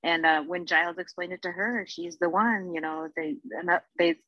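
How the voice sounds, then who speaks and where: A woman's voice: fairly low pitch, moderate pace, dry recording. A woman chatting casually on a podcast, reasoning things through in an explanatory way. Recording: dull lo-fi microphone sound.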